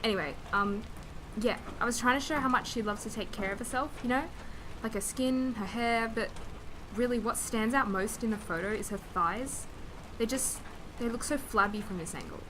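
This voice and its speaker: Hesitant teenage girl over clear background noise actress. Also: fairly high pitch, moderate pace, lightly acted. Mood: uneasy, critical. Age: young adult. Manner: hesitant, casual. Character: insecure, self-critical eighteen-year-old art student. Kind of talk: radio drama acting as video diary. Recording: noisy room ambience on video diary.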